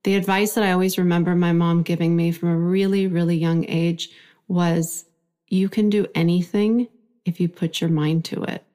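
A woman's voice: fairly low pitch, flat delivery, normal speed, dark tone. A woman in her forties, from Iran, telling her life story reflectively in an interview. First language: Farsi. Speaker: guest. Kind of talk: conversational interview.

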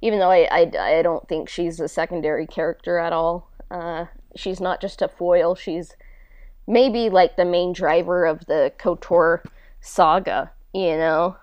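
A woman speaks in a casual, halting podcast style, with a lot of "like" as she goes. Her voice sits on the low side and is very clear and smooth, recorded dry and close. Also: a little mellow, dry recording, lo-fi sound. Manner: halting, conversational, frequent "like". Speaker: podcast host and creator being interviewed.

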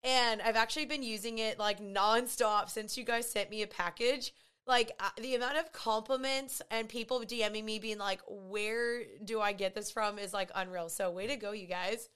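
A woman with a raspy voice hosting a podcast in chatty, gushing talk full of fillers. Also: on the high side, fairly even intonation, moderate pace, fairly bright tone. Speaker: podcast host. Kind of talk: casual podcast chat.

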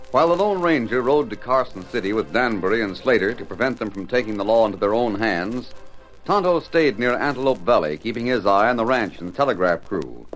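A man's voice: fairly low pitch, lively intonation, fairly quick, a bit bright, poor audio. A man narrating a mid-century radio drama as its announcer, in scripted storytelling style. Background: music.

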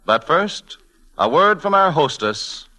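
A man's voice: fairly high pitch, big pitch swings, slow, bright, forceful tone. A man announcing a 1940s radio program in a formal, declamatory manner. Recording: noisy old broadcast, audience sound.

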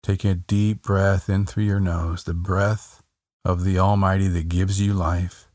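Casting: man with a very low voice, unhurried, gentle meditation guide, dry close sound. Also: no echo. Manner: slow, soft, calm, repeats phrases with long pauses.

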